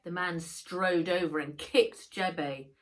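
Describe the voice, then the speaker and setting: A woman reading a children's adventure book aloud in expressive narration, as an author reading her own work. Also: fairly low pitch, slow.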